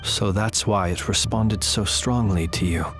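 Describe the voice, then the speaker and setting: An actor playing a composed, polite adventurer in a video game, questioning in a measured, dryly wry way. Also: male voice, on the low side, over music, natural voice. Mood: wry, deducing. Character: composed, courteous, probing male adventurer facing a villain. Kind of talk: video game character acting.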